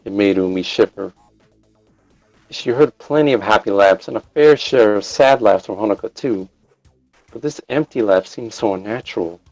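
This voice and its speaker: A man's voice: fairly low pitch, moderate pace, darkish tone, no echo, soft background music, low-quality recording. A man reading scripted story narration in the role of narrator. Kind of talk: scripted narration of fan fiction.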